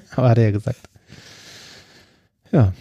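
A man's voice: medium pitch, big pitch swings, takes it slowly, dark tone, husky, no echo. A man co-hosting a podcast in casual chat, enthusiastic and laughing often. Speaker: podcast co-host.

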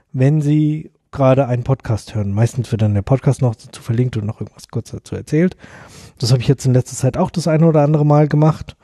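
A man with a very dark, husky voice who co-hosts a casual podcast. He backs his partner up with short replies and explains things slowly. The recording is close and dry. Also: mid-range voice, dry recording. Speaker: podcast co-host.

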